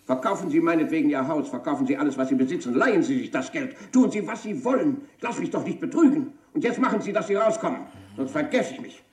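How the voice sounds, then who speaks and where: An actor playing a stern, domineering company boss in a German radio crime play. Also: male voice, a little high, animated, quick pace, hardly any pauses, darkish tone, reverberant, close to an everyday voice. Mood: angry, threatening. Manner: cutting, domineering, commanding. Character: stern, angry male company boss confronting an embezzler.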